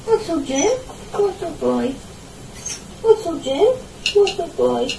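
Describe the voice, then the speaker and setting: A woman's voice: sits high, lively intonation, takes it slowly, creaky, background noise. A middle-aged woman chatting casually to herself and the camera as she works at painting in a small room at home. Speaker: artist and vlogger. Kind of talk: casual narration while painting. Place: small home art studio, indoors.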